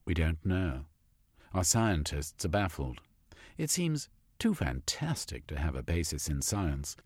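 A man's voice: rumbling low, very animated, darkish tone, breathy edge, no echo, studio quality, exaggerated voice. Man doing a haughty, drawling scientist official. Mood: measured. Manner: haughty, measured. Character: supercilious tall male zoologist official.

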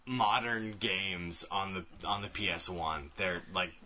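Casting: male podcast co-host with a bright, clear-cut tone, thinking aloud in casual group conversation. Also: low voice, slow pace. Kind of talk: casual group chat about video games.

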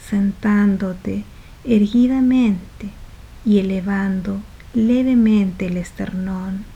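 A woman leading a guided meditation softly and without hurry, phrase by phrase, letting the ends of her phrases trail off into breath. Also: medium pitch, lo-fi sound. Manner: soft, unhurried, phrase by phrase with trailing breathy endings.

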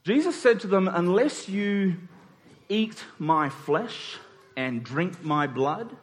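A man's voice: high-pitched, animated, takes it slowly. A man preaching a conversational sermon in an informal way, acting out dialogue in everyday words. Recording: long hall reverb.

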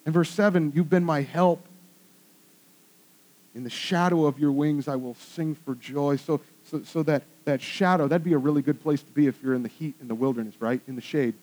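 Animated male pastor preaching. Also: on the high side, low-quality recording. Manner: animated preaching, conversational asides. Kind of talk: sermon.

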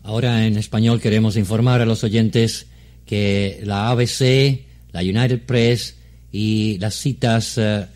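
A man working as a radio newsreader, giving live breaking news in a formal, deliberate manner. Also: fairly low pitch, darkish tone. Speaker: Spanish-language radio newsreader.

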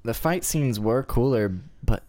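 A man co-hosting a podcast in relaxed, casual conversation with friends. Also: mid-range voice, unhurried. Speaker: podcast co-host.

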